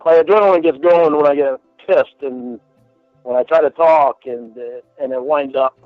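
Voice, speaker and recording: A man with a stutter talking casually in a halting, defensive way. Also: on the high side, no echo. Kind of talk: casual phone conversation. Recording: tinny phone-like line, music behind.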